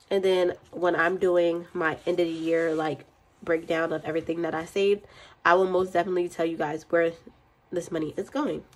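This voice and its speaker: A young woman with a cold and a stuffy voice, chatting casually and counting money aloud in her budgeting vlog. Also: on the low side. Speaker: budgeting channel host. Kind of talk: casual chat while counting cash. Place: indoors at a desk.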